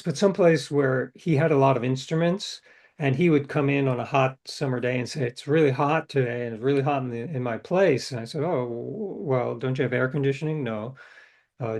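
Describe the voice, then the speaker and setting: A man's voice: mid-range voice, a little mellow. A middle-aged man, a writer being interviewed, telling stories in a reflective, anecdotal way. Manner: reflective, anecdotal, hesitant restarts. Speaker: guest author. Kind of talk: interview storytelling.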